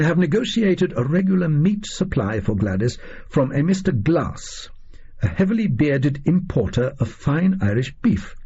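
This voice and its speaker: A man's voice: a little high, mellow, breathy edge, highs cut off, full character voice. A man voicing a pompous, eccentric explorer-scientist reading out his diary in clipped, self-important phrases, for an audio story. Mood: self-satisfied.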